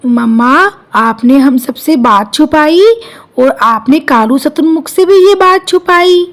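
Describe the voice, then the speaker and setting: An earnest young girl bird from a children's cartoon, played by a woman, with a high voice. She sounds like a dutiful daughter in a simple animal fable. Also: a little slow. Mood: reproachful. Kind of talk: Hindi cartoon story dialogue.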